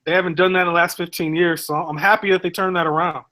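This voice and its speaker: Man, sports panelist, deadpan, high voice, bright tone. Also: quick pace. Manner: dry, offhand, self-correcting. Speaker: podcast co-host. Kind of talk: casual sports banter.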